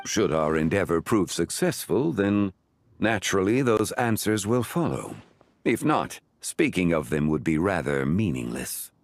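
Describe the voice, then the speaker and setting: Deep-voiced, dignified ancient sage. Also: male voice, very animated, heavily acted. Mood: measured. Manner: measured, formal, grave. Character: calm, dignified, ancient male sage with solemn temper. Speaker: game character in story cutscene. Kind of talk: formal scripted game dialogue.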